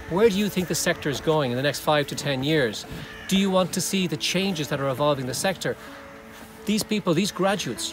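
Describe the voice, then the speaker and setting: A man, a professor giving a brisk outdoor talk to prospective students from a cow pasture. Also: on the high side. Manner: brisk, list-like explaining. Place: open pasture outdoors with cows around. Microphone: phone or camera held close at selfie range. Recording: music bed under the voice.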